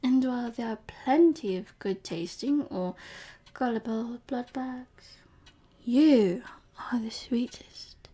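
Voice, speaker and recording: A woman playing a seductive, possessive vampire professor in an intimate ASMR audio roleplay, breathy and often whispering, teasing and softly commanding. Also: on the high side, slow pace. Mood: teasing, predatory.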